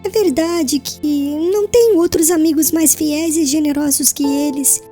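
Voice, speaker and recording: A woman playing a gentle, devout, frail young bride in a dramatised audiobook, speaking tenderly and humbly in solemn literary phrases. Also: high voice, moderate pace, faint music behind, lightly acted. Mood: sorrowful, serene.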